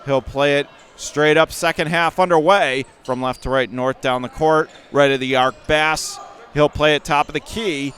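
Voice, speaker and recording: Male sports announcer, higher-pitched voice, basketball play-by-play in clipped, excitable phrases. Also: normal speed, smooth. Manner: clipped phrases that rise to excited calls on big plays. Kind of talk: basketball radio play-by-play commentary. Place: high school gym during a game.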